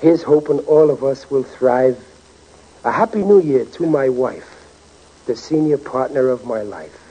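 A man's voice: medium pitch, measured pace, warm tone, breathy edge. A man playing a bashful, folksy working-class father and amateur poet in a 1940s radio comedy. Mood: sentimental, jokey. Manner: hesitant, folksy, chuckling at himself. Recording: dull, slightly noisy 1940s radio recording.

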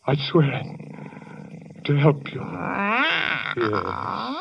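A man's voice: fairly high pitch, lively intonation, extremely slow, vocal fry, slightly rough, recorded from a distance, lo-fi sound, exaggerated voice. An actor playing a frantic man guarding his cursed wife, in 1940s radio acting style. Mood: desperate. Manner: desperate, pleading, then wildly shouting.

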